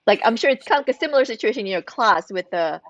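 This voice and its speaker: A woman's voice: on the high side, lively intonation, fast, no echo, lo-fi sound. A woman hosting a casual interview as a parent, asking questions in a conversational way.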